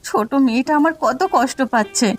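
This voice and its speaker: A woman's voice: on the high side, brisk, breathy edge. A woman playing a worried, tender village wife and mother in a cartoon, speaking in a plaintive, earnest way. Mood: worried, sorrowful. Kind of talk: Bengali cartoon voice acting.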